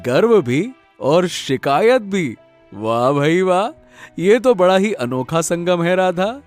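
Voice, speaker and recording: Kind, trusting village farmer for a cartoon tale, played by a man; higher register, slow, sincere. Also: sing-song, faint music behind, exaggerated voice. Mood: teasing. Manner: good-natured, earnest. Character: kind, trusting young farmer, generous older brother.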